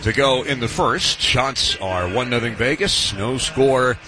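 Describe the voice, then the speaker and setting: A man's voice: medium pitch, unhurried, low-quality recording. A man with a North American accent calling live ice hockey play-by-play on the radio, in clipped running description with dry asides. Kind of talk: live sports play-by-play commentary. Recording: live sports radio broadcast, loud arena noise. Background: loud arena ambience.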